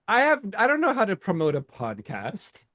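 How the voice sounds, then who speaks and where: A male podcast co-host chatting casually, his pitch leaping around as he laughs and trips over his own restarts. He sits a little higher than most men and the sound is dry and close to the mic. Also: no room sound. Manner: animated, laughs often, restarts sentences. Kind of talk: casual chat.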